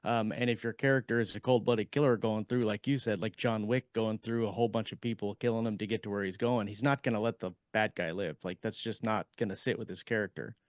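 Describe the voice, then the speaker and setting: A man co-hosting a podcast in casual chat, working through his points haltingly and restating them. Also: fairly low pitch, fairly even intonation, dry recording. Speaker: podcast co-host.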